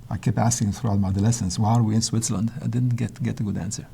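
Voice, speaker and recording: A man's voice: lowish voice, steady tone, very fast, creaky, mellow, slight background noise. An older man from Poland, whose first language is Polish, recalling his early life in a hesitant interview manner with many restarts. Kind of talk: reflective interview answers.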